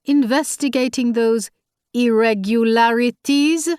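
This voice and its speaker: A man's voice: sits high, animated, moderate pace, dry recording, clean studio sound. A woman voicing a brusque, dismissive middle-aged French police commissioner who talks in a French accent and cuts others off. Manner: brusque, interrupting.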